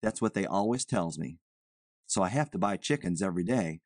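A woman's voice: a bass voice, expressive, a little fast, darkish tone, dry recording, clean studio sound, voice pushed down. A woman voicing a nervous, evasive man who poses as a chicken buyer, with folksy, ungrammatical, rambling talk. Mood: uneasy, hedging.

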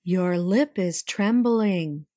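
A woman doing a slow, solemn ghost. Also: on the low side, mellow, dry recording, clean studio sound. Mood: gentle. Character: gentle, solemn spirit of the past.

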